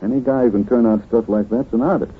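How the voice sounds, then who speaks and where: An actor playing a pushy, shady crook with husky, slangy tough-guy talk in a 1940s radio drama. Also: mid-range voice, steady tone, fast. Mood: sly, persuasive. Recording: muffled, phone-like vintage radio.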